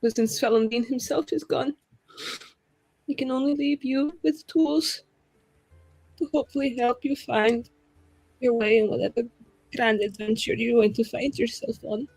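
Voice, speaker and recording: A woman playing a grieving, tender fantasy adventurer in a tabletop game, speaking a halting, gentle farewell. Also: on the high side, fairly even intonation, husky, no echo, soft background music, pitched above the performer's own voice, poor audio. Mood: solemn, tender. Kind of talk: in-character tabletop roleplay.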